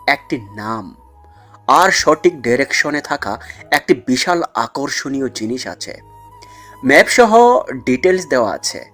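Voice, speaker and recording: A man's voice: medium pitch, a bit bright. A man playing a cunning, commanding mafia mastermind in an audio drama, explaining things in a smug, condescending way. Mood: calm, secretive. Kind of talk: dramatised audio story acting.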